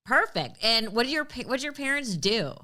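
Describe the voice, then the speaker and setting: A woman's voice: fairly high pitch, very animated, a little fast, bright, forceful tone. A woman hosting a podcast, introducing and interviewing a guest in a conversational style. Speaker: podcast host.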